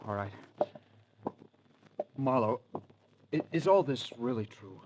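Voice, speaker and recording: A man's voice: medium pitch, expressive, takes it slowly. An American actor playing a naive, anxious young man in 1940s radio drama, hesitant and questioning. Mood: shaken, puzzled. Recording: noisy old radio recording.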